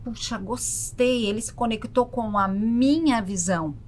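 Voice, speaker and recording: Higher-pitched Brazilian woman chatting over background noise. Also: measured pace. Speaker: podcast guest, travel content creator. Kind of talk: casual conversational explanation.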